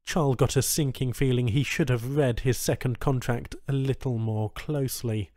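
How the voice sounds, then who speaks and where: A male narrator reads science fiction prose in a medium-pitched voice. It is scripted audiobook storytelling, and the recording is very dry, with no room sound around the voice. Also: steady tone, normal speed, a little mellow, dry recording.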